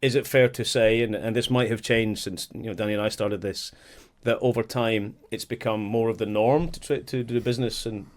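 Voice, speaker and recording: A man co-hosting a podcast, asking long questions in a halting way and repeating words as he goes. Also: on the low side, fairly even intonation. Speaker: podcast co-host. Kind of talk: casual interview chat.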